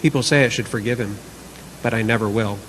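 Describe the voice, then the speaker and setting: A man's voice: medium pitch, fast, a bit bright, lightly acted. Male reader as aggrieved, obsessive man in a staged monologue, middle register, noisy live recording. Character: bitter, sleepless man brooding over a feud with his neighbour. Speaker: reader in a church service skit. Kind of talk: dramatic monologue reading.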